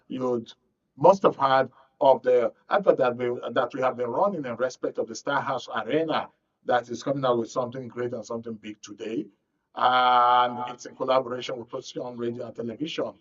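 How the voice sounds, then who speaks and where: Nigerian male talk show host, slightly muffled. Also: medium pitch. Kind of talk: unscripted programme introduction. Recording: slightly dull, muffled broadcast sound.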